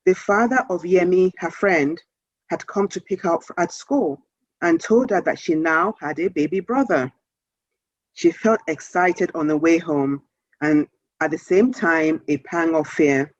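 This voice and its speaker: A woman author reading a children's story aloud in a measured, expressive storytelling manner. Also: lowish voice, no echo, highs cut off.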